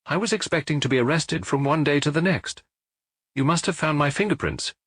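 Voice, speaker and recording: Man acting a husky, anguished middle-aged man confessing. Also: on the high side, fairly quick, a bit bright, no echo, studio quality, voice pushed up. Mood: confessing, desperate.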